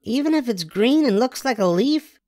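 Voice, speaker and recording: A young know-it-all brother voiced by a man teases in a raised voice. It is a storybook character, pitched well up and recorded dry. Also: animated, moderate pace, darkish tone, no echo. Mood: teasing.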